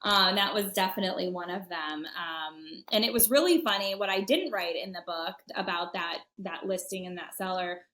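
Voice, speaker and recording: A woman's voice: lowish voice, normal speed, hardly any pauses, fairly bright tone. A woman guesting on a real estate podcast, talking casually with fillers and frequent laughs. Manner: chatty, many fillers, laughs often.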